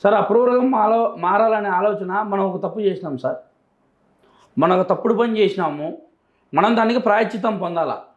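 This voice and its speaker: Male interviewee, Rayalaseema Telugu accent, high voice, emphatic and repetitive delivery, roomy echo. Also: fairly quick, dull audio. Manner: emphatic, repeats phrases for emphasis. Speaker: interview guest. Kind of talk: answering interview questions. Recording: echoey, roomy sound.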